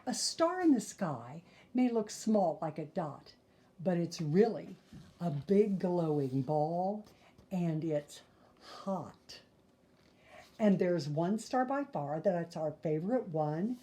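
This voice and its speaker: An older woman reads a storybook aloud to young children in a soft-toned, mellow voice. She takes her time over each phrase at a very slow pace, slipping in gentle asides to the listeners. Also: on the low side, lively intonation. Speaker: storyteller reading a children's picture book aloud.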